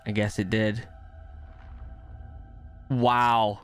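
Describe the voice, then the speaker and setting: A man in his thirties giving casual, excitable reaction commentary into a close microphone. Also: lowish voice, very slow, smooth, soft background music. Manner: hyped, exclamatory. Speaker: reaction video host. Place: indoor streaming setup. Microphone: close boom-arm microphone.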